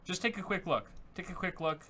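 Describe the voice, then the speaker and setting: Upbeat young man, bright voice. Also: high voice, a little fast. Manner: upbeat, reads messages aloud with filler words. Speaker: tech show co-host. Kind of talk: casual livestream chat reading donations. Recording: faint background noise.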